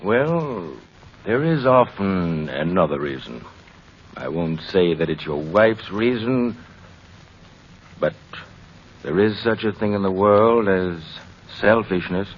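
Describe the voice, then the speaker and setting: An actor playing a frank family doctor giving advice in a deliberate, measured way, old-time radio drama style. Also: male voice, sits low, animated, full character voice. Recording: dull vintage radio recording.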